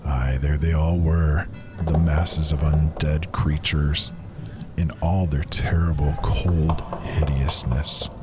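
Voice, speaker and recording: An actor playing a haunted, grief-stricken man who solemnly and haltingly recounts fantasy horrors in archaic phrasing. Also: very deep voice, steady tone, loud music behind the voice. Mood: horrified, dread. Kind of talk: dramatic first-person fantasy narration.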